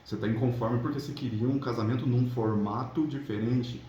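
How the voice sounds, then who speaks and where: A Brazilian man with a mellow, relaxed voice talks casually, like a coach motivating viewers. He speaks in a colloquial, conversational way, often checking in with the listener. The room echoes around him and there is audible background noise. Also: mid-range voice, brisk, dull audio. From São Paulo state, Brazil. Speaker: relationship coach, channel host. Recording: roomy echo, clear background noise.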